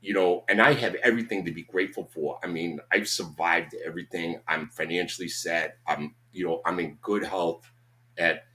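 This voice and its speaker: A man in his fifties with a Boston accent and a very deep, low voice. He talks in a loose, rambling way, often says "you know what I'm saying", and swears without a second thought. It sounds like a relaxed podcast chat. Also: normal speed. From Boston, USA. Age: 50s. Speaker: podcast guest. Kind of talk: casual conversation.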